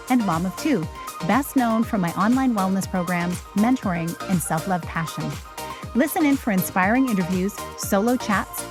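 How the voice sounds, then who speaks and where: A woman hosting a podcast and working as a health coach, reading a polished, upbeat intro before the interview. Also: mid-range voice. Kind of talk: scripted intro then interview.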